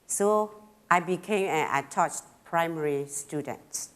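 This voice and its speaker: A middle-aged woman from Burma with a Karen accent, giving a personal talk in simple, halting phrasing with pauses and fillers, a little nervous. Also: low-pitched. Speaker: speaker at a talk.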